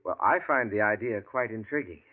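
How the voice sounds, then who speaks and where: A man's voice: fairly low pitch, lively intonation, fairly quick, lightly acted. An actor playing an earnest male biographer who narrates and asks probing questions in a 1940s radio drama. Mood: curious. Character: earnest adult male biographer, curious, loyal. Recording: tinny lo-fi old radio broadcast.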